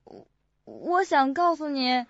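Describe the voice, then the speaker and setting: A woman playing a timid young employee who speaks in a halting, stammering way in a radio drama. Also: high-pitched, huge pitch swings, creaky, dry recording, exaggerated voice. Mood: nervous, hesitant. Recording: muffled audio with loud noise.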